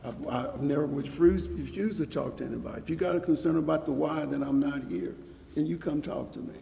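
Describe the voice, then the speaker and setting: A man's voice: on the high side, a little fast, vocal fry, warm tone, slight background noise. A man debating off the cuff at a council meeting, insistent and defensive as he makes his points. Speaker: longtime council member. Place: council chambers, indoors. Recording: echoey meeting hall with long reverb.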